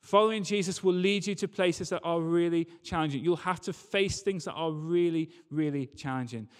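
A man's voice: a little high, normal speed, clean tone. A man preaching a sermon to a congregation in an emphatic manner, using rhetorical questions and repetition. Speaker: preacher, church leader. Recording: reverberant hall sound.

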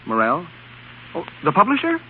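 An actor playing a breezy, proud young husband and would-be writer in a 1930s radio drama. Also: male voice, a little high, huge pitch swings, normal speed. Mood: playful, eager. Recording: tinny, noisy 1930s radio recording.

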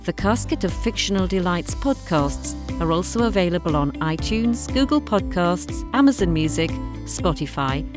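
A woman's voice: on the low side, lively intonation, normal speed, hardly any pauses. A woman announcing a story podcast from a script. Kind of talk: scripted podcast introduction and sign-off. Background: music.

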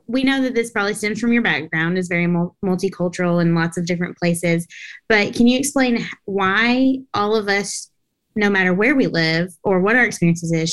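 A woman co-hosting an interview over a video call, encouraging as she introduces topics. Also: fairly low pitch. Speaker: co-host.

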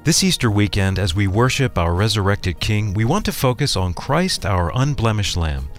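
Low-voiced male radio announcer, animated, over music. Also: moderate pace. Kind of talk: scripted radio introduction.

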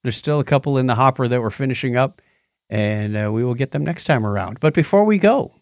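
A man's voice: mid-range voice, animated, a little fast, dry recording. A man co-hosting a casual review podcast, with a mid-range voice and a close, dry sound. He talks conversationally, retelling things and dropping in quoted asides. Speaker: podcast co-host. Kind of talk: casual chat reviewing TV shows.

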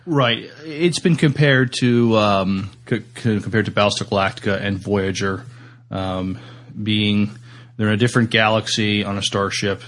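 A man co-hosting a podcast, explaining things casually and haltingly. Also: on the low side, a bit bright. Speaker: podcast co-host. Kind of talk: casual chat. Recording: faint background noise.

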